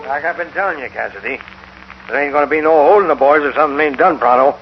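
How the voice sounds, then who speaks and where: An actor playing a blunt, impatient American frontier rancher with folksy cowboy talk and a gravelly voice, in radio western style. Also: male voice, fairly high pitch, quick pace, over music, lightly acted. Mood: disgruntled. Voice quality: gravelly, creaky. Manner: folksy cowboy dialect, grumbling. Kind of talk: 1950s radio western acting. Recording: muffled, noisy old radio broadcast.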